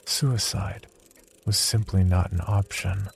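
An actor playing a haunted, unravelling man confessing dark thoughts in a horror audio drama diary, with brooding, measured delivery. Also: low voice, steady tone, creaky, faint music behind, exaggerated voice. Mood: bitter dread. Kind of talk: horror audio drama monologue, first-person diary narration.

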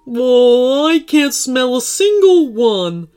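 A woman voicing a big, grumbly male yeti in a children's story, speaking slowly and drawing out the words. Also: high-pitched, big pitch swings, darkish tone, clean tone, faint music behind, pitched above the performer's own voice, full character voice. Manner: slow, drawn-out delivery.

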